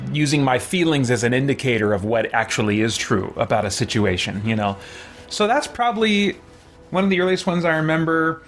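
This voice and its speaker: A man's voice: fairly high pitch, a bit bright. A man hosting a game let's-play, chatting casually and reflectively to viewers, thinking aloud in hesitant, looping, qualified sentences. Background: background music.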